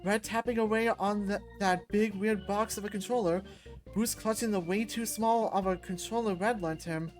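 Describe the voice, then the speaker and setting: A woman reading a visual novel aloud as expressive first-person story narration. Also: medium pitch, normal speed, faint music behind.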